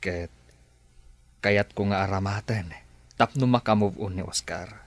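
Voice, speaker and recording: A man's voice: on the low side, a little slow, dry recording. A man acting a persuasive, scheming boss in an Ilocano radio drama, with an Ilocano Filipino accent. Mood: coaxing, probing.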